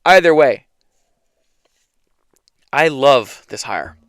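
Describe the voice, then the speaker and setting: A man hosting a casual solo sports talk show, hoarse from yelling, opinionated and rambling with frequent restarts. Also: medium pitch, expressive, very slow, no room sound, clean studio sound. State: hoarse, losing his voice. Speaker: podcast host.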